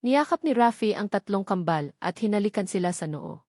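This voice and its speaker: A woman storyteller reads a scripted drama. Her voice sits at an average pitch for a woman. The sound is very dry, with no room around it. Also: expressive, normal speed, darkish tone, no echo.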